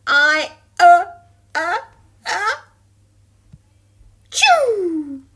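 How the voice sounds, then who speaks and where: A woman's voice: very high voice, sing-song, extremely slow, a bit bright, voice pushed up, exaggerated voice. A woman voicing a lonely, timid young male fish in a storybook, nervous, plaintive and eager to please. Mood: excited, sneezy.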